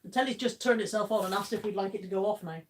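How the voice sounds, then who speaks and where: A woman's voice: medium pitch, very fast, a little hiss or hum. A woman hosting a live stream in a casual chatty way, reading out viewer comments, laughing often and talking bluntly. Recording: voice somewhat far from the mic.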